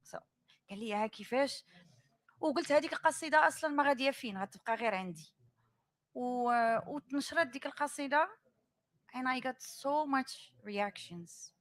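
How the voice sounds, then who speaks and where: Brisk Dutch-born woman poet, higher voice. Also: expressive, fairly bright tone. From Netherlands. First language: Dutch and Moroccan Arabic. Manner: thoughtful, switches between English and Moroccan Arabic while telling stories. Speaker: poet on a panel. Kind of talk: panel discussion.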